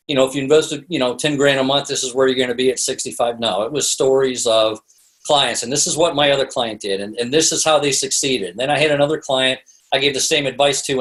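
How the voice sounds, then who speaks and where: Middle-aged male podcast guest, mid-pitched voice, anecdotal and conversational, rambles a bit. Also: fairly bright tone, rough recording. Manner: chatty, rambling, peppered with "you know". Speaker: podcast guest, sales expert and author. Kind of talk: casual anecdotal interview storytelling.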